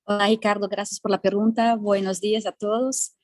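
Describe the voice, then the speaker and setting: A woman's voice: medium pitch, little pitch movement, a little fast, a little mellow, no room sound, studio quality. A woman from Brazil with a Brazilian Portuguese accent, speaking as a panelist and often repeating words while she searches for them. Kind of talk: panel discussion.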